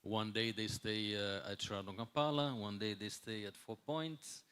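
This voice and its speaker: A man in his sixties, a non-native English speaker, gives an unscripted conference talk as a hotel executive, often pausing and repeating words as he searches for the next one. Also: on the low side, steady tone, fairly bright tone, clear, resonant tone. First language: not English. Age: 60s.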